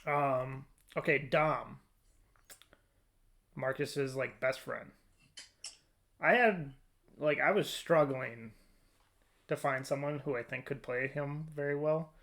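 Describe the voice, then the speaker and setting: A man's voice: medium pitch, slow pace, pauses often, fairly bright tone. A man hosting a casual podcast discussion, talking informally and a little hesitantly as he thinks aloud. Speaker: podcast host leading a discussion. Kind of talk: casual chat.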